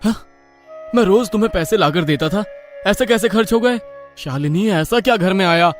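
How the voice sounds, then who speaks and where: An actor playing a poor, hardworking young husband in a story, earnest, troubled and questioning. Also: male voice, high-pitched, lively intonation, normal speed, faint music behind. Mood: upset.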